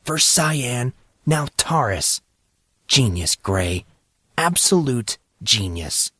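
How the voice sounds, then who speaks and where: A man's voice: medium pitch, very animated, drawn-out words, breathy edge, dry recording, full character voice. Man playing a teenage boy, sarcastic, irritable, hard on himself, dry close sound, audiobook character. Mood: self-berating. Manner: quiet, muttering sarcasm. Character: sarcastic, self-berating fourteen-year-old boy, easily irritated.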